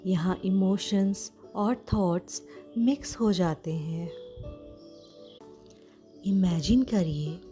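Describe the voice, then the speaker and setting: Slow, soothing, mellow Indian woman guiding meditation. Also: lowish voice. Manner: soothing, gentle, with frequent pauses. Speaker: guided meditation host. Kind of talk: guided meditation narration.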